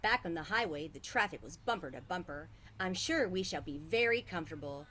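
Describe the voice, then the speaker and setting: A fretful mother from a storybook, voiced by a woman reading aloud. She complains and worries in a lowish register, with faint noise on the recording. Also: expressive, a little fast, a bit bright. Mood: apologetic. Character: worried, protesting mother on a family road trip.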